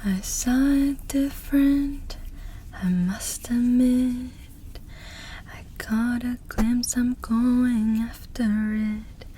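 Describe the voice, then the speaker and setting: A woman doing ASMR, singing and whispering songs in a soft, breathy, gentle voice. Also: a little high, fairly even intonation, slight background noise, rough recording. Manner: slow, drawn-out, gentle delivery. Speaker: ASMR performer.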